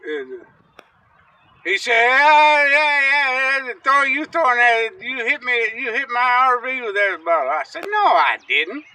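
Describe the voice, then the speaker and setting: A man's voice: extremely high pitch, unhurried, clear, resonant tone. A man telling rambling, folksy stories in casual chat outdoors on a riverbank, picked up from some distance. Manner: rambling, folksy, animated storyteller. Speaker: storytelling uncle, guest.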